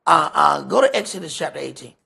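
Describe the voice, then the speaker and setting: A man's voice: a little high, very animated, fast, vocal fry, sharp tone, husky. A man teaching scripture in an informal sermon, conversational and emphatic, repeating phrases for effect. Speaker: priest teaching a Bible study.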